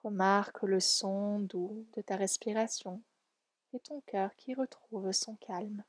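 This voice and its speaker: A woman leading a guided relaxation meditation in a soft, soothing, gentle and unhurried way. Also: medium pitch, fairly even intonation, no echo. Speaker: yoga nidra guide.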